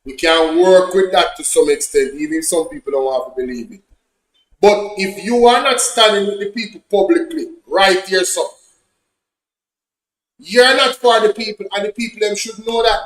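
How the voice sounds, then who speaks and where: A Jamaican man delivers reaction commentary in an animated, preachy way, his voice sitting fairly high. The room around him rings with a noticeable echo. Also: moderate pace. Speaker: online commentator.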